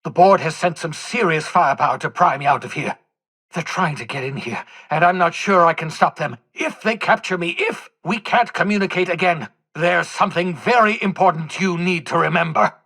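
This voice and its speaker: An actor playing an eccentric, jittery older fugitive scientist in a video game, speaking in a theatrical, wordy, wry way with hesitant asides. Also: male voice, fairly high pitch, pushed and bright, slightly rough, heavily acted. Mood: urgent, alarmed. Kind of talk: video game character dialogue.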